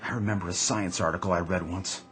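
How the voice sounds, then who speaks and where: An actor playing a bitter, accused suburban husband who grows desperate, emphatic and sarcastic in a radio drama. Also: male voice, deep voice, steady tone, fast, close to an everyday voice. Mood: grim, bitter. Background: background music.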